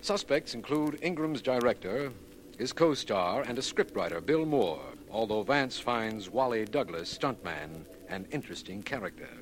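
An American actor playing an earnest, skeptical district attorney in a 1940s radio mystery, formal and insistent. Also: male voice, mid-range voice, lively intonation, normal speed, close to an everyday voice. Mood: formal, narrating. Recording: faintly noisy vintage radio recording.

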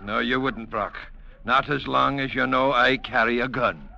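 An actor playing a gruff, cynical river-boat captain in a blunt, sardonic radio-drama style. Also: male voice, medium pitch, normal speed. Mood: defiant. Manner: blunt, sardonic, shouts orders. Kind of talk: 1940s-50s radio drama acting. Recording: dull, noisy old radio recording.

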